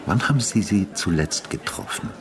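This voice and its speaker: Radio drama: retired homicide investigator, older male, deep voice, measured, sober, quietly probing questioner. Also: animated, background noise. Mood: calm, probing. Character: retired homicide detective, older man, patient, probing, calm. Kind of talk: naturalistic German radio drama acting.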